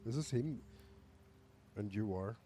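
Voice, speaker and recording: A man's voice: lowish voice, slow, warm tone, a little hiss or hum. A man live streaming a game, chatting casually and reacting as he goes. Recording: faint game music behind the voice. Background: game music.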